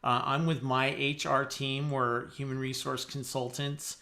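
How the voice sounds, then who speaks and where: A man in a mid-range, flat, even voice introduces his HR consulting in a relaxed, halting way. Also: mid-range voice. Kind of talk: casual networking pitch.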